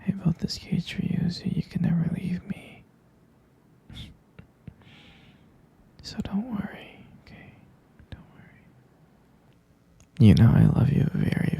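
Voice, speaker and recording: A man playing an obsessive, lovesick captor in an ASMR roleplay, tender and possessive, coaxing the listener in a breathy whisper. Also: high voice, dark tone, full character voice. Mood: pleading, possessive, then adoring, controlling. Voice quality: breathy, mostly whispered, gravelly creak. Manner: slow, coaxing, cooing, insistent.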